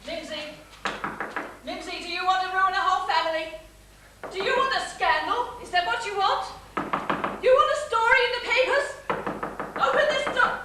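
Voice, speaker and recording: A woman plays a fretful, melodramatic mother of the bride who spirals into panic, her very high voice leaping wildly. She is caught from a distance in a heavily echoing space, with clear noise underneath. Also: exaggerated voice. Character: frantic middle-aged mother of the bride. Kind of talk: stage comedy acting. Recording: echoey stage recording from far off with noise.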